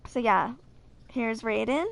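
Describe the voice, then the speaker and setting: A woman's voice: on the high side, takes it slowly, vocal fry. A woman in her twenties hosting a casual gaming video, chatting and reading game lore aloud, sometimes stumbling and correcting herself. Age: 20s.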